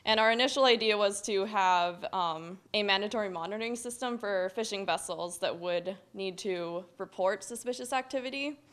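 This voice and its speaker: A young woman presenting recommendations from a podium in a lecture hall, earnest and steady with occasional restarts. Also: medium pitch, moderate pace, hardly any pauses, clean tone. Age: young adult. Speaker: student presenter. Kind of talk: academic presentation.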